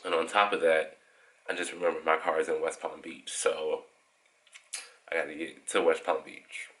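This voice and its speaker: A man's voice: a bass voice, level tone, moderate pace. A young man, a flight attendant, chatting casually and joking at his own expense in a travel vlog. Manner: chatty, self-deprecating, joking. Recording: loud background noise from travel ambience.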